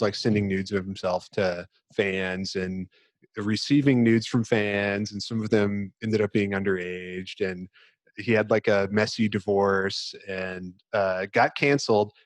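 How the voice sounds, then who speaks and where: A man's voice: on the low side, measured pace, no echo. A man talking casually as a co-host on a podcast, explaining things to his friends. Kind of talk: casual chat.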